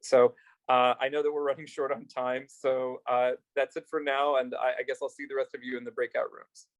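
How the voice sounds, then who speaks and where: Flat-voiced man with halting delivery. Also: mid-range voice, low-quality recording. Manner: flat, hesitant, many fillers. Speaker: immigrant legal services provider. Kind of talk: panel talk.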